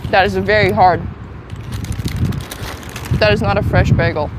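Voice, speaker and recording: A woman with a faint Swedish accent chats to her viewers while out on a city street. She is casual and self-deprecating, speaking at a middle pitch into a phone held at arm's length, with clear street and traffic noise underneath. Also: fairly even intonation, unhurried. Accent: slight Swedish accent. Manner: chatty, self-deprecating, talks to chat while eating. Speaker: travel streamer. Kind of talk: casual live-stream chat.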